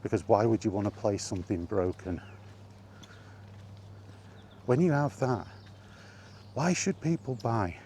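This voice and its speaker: A man's voice: on the low side, very animated, measured pace, pauses often, dark tone, slight background noise. A man talking off the cuff in an exasperated gaming rant, emphatic and full of rhetorical questions. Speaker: solo video game vlogger.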